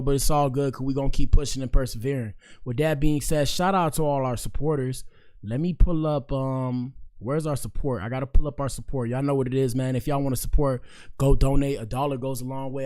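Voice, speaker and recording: A young man with a hoarse, worn voice hosts a loose livestream podcast. He rambles in slang at a middling pitch and talks to his viewers like friends. He grew up in Miami-Dade County. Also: a little mellow. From Miami-Dade County, Florida. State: hoarse, has partly lost his voice. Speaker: podcast host. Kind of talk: casual livestream commentary.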